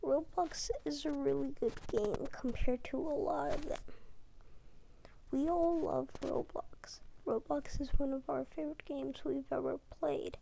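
A woman's voice: high-pitched, steady tone, creaky, slight background noise, low-quality recording. Young girl half-whispering late at night. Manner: hushed, often whispering, with halting restarts. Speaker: video uploader. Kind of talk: casual talk over a screen recording. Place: home at night. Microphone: tablet mic.

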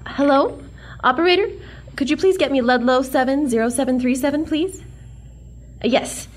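A woman's voice: high voice, moderate pace, lightly acted. A woman playing a plucky, wisecracking young museum worker in a comic radio drama, perky and sassy. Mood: polite exasperation.

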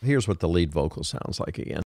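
Man, low pitch, dry recording, casual instructional voice-over, informal, step-by-step. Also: animated, a little fast, darkish tone, no echo. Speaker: music production tutorial host. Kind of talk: casual software tutorial narration.

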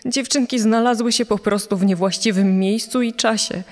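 A woman's voice: a little high, fairly even intonation, normal speed, a little mellow, natural voice. A woman acting as a grieving, pained mother of a murdered daughter in a dramatized true-crime reading. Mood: bitter grief. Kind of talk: dramatized reading of a quoted statement. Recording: long hall reverb over background music.

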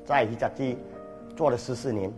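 A man's voice: mid-range voice, steady tone, normal speed, music in the background. A man of fifty, a factory worker, talking earnestly in a documentary interview in a factory storeroom. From Singapore. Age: 50s. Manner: plain, earnest talk.

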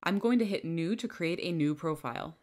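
Low-voiced woman walking through software steps. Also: animated, a little fast. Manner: clear, step-by-step instructional delivery. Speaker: software trainer. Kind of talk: screen-recorded software tutorial. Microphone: headset or bench microphone.

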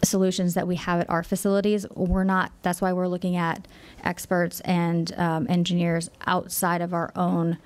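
A woman in her thirties answering questions at a town council meeting from a desk microphone, measured and a little apologetic, with frequent fillers. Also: fairly low pitch, monotone, darkish tone. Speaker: company representative. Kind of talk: public meeting presentation.

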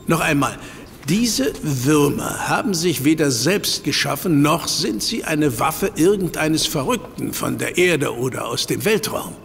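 An actor playing a learned professor who patiently explains a scientific crisis in a German audio drama. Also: male voice, fairly high pitch, lively intonation, measured pace, vocal fry, a bit bright, big-room sound, slight background noise, exaggerated voice. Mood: lecturing. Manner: patient, lecturing. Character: learned older male professor, calm explainer.

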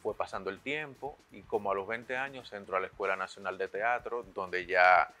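A man's voice: low voice, moderate pace, pushed and bright, faint music behind. A middle-aged man with a Dominican accent, explaining himself conversationally as an interview guest in a television studio. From Dominican Republic. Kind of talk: conversational interview, telling his own story.

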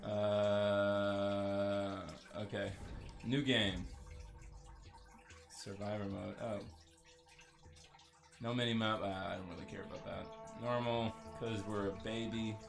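A man with a fairly low-pitched voice commentates as he plays a video game. His delivery is casual and joking, wandering off into sarcastic asides like a gamer hosting his own let's-play. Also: little pitch movement, takes it slowly, vocal fry, long pauses, soft background music. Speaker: gaming video host.

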